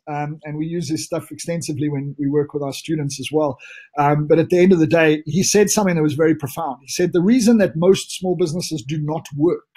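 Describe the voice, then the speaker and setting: A South African man in his forties gives a live business webinar, slightly husky and a touch higher-pitched than most men. He talks in an upbeat, motivational way, with plenty of ums and restarts. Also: warm tone. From Namibia. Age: 40s. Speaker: business coach presenting a webinar.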